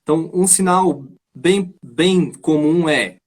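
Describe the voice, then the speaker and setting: A Brazilian man with a husky voice, talking casually and explaining things as host of a live stream about voice. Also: on the high side, slow pace. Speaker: live show host and voice coach.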